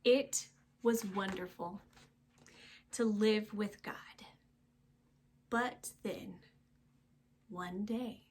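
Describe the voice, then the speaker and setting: A woman reading a storybook aloud to young children, expressive and emphatic, with dramatic pauses and hushed phrase endings. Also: mid-range voice, a little hiss or hum.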